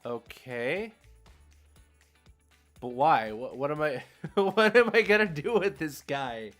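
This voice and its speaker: A man's voice: fairly high pitch, expressive, slow pace, fairly bright tone, faint music behind. A man live streaming a game, chatting casually with viewers in a rambling, conversational, slangy way. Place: indoors, solo at a streaming setup.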